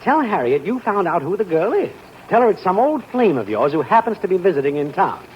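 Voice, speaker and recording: An actor playing a wisecracking, scheming neighbor in a 1940s radio sitcom, with dry and sly jokes. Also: male voice, high-pitched, sing-song, brisk. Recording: dull, faintly hissy old radio broadcast.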